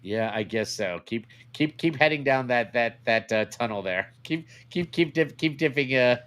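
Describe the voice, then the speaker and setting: A man's voice: medium pitch, expressive, moderate pace, fairly bright tone. A man co-hosting a podcast in a casual, opinionated conversational style. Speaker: podcast co-host.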